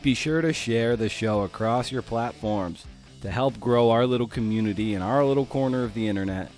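A man's voice: mid-range voice, moderate pace, a little mellow, soft background music. A man hosting a podcast in a casual, informal, chatty way. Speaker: podcast host and interviewer.

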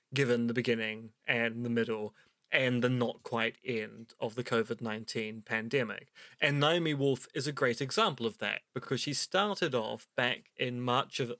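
A middle-aged man co-hosts a podcast and explains things in a measured, lecturing way, with the odd dry joke. His mid-pitched voice sounds close and dry, with no room echo. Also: fairly bright tone. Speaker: podcast co-host and academic. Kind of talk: casual podcast chat.

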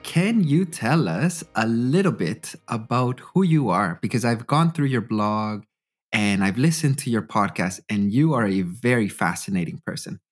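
A man's voice: medium pitch, lively intonation, measured pace. Canadian podcast host, man. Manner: friendly, curious questions. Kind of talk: casual interview.

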